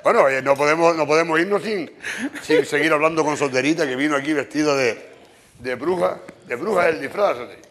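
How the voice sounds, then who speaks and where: A man in his fifties with a Canarian Spanish accent, hosting a casual chat in halting, repeated phrases. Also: fairly high pitch, a bit bright. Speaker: host. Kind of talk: casual chat before an audience. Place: busy wooden tavern with seated audience. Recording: live venue with clear background noise.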